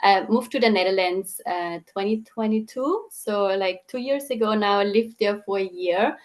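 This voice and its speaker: A woman's voice: medium pitch, moderate pace. A young woman from Austria with an Austrian accent, chatting as a podcast guest and peppering her speech with fillers. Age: young adult. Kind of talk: casual chat.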